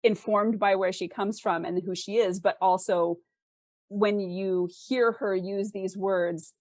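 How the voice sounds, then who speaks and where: A woman's voice: mid-range voice, fairly even intonation, measured pace, darkish tone, no room sound. An American woman from the West Coast explains her craft conversationally as an interview guest, thoughtfully posing questions as she goes. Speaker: interview guest, fiction author.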